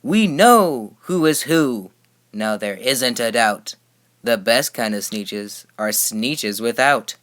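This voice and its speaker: A man's voice: mid-range voice, expressive, slow, fairly bright tone. Boastful storybook creatures, acted by a man reading aloud, indignant and haughty. Each line is declaimed emphatically, as if to a rival group. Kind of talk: storybook character voice.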